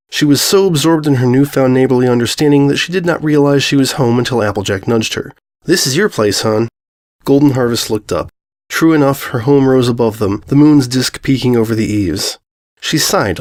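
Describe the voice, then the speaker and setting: A man's voice: mid-range voice, a little mellow. Man narrating scripted fiction. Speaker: story narrator.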